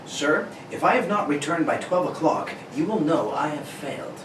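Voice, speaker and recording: A man's voice: on the high side, expressive, moderate pace, a bit bright. A man playing a brave, dutiful army pilot captain in an old-time radio drama. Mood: resolute, subdued. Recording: roomy, distant mic with faint noise.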